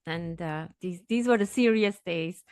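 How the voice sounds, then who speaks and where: A middle-aged woman who grew up in Switzerland, a podcast guest telling her story in an animated, upbeat way. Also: on the low side, unhurried, smooth, no room sound, clean studio sound. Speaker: podcast guest, executive coach. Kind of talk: conversational interview.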